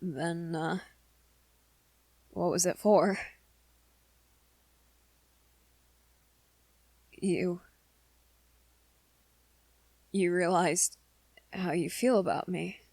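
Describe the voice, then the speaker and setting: Slow, low-voiced shy young werewolf boy played by a woman. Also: steady tone, gravelly, no echo, heavily acted. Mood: tentative, tender. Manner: halting, hesitant, soft-spoken. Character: shy, earnest young male werewolf, lovestruck and guilt-ridden. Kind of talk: ASMR romance roleplay audio drama.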